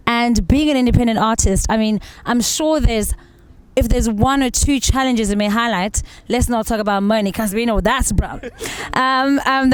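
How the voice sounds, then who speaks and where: Clear-voiced woman hosting an interview. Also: fairly high pitch, poor audio. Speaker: interview host. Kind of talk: casual interview with musicians.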